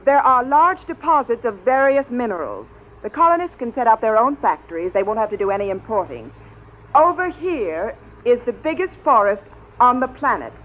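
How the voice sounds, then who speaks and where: Stern female commander, crisp authority, lively intonation; muffled vintage radio with faint noise. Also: on the high side, moderate pace. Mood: businesslike. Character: brisk, stern female space expedition commander. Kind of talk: old-time radio drama acting.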